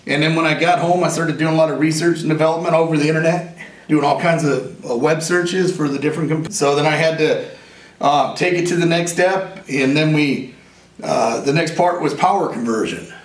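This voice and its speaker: A man in his forties with a raspy voice, an inventor talking in a casual, rambling and earnest way, sometimes joking about himself. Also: fairly high pitch, fairly even intonation, fairly quick. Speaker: inventor and veteran interviewee. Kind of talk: casual interview talk. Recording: roomy echo, from a distance.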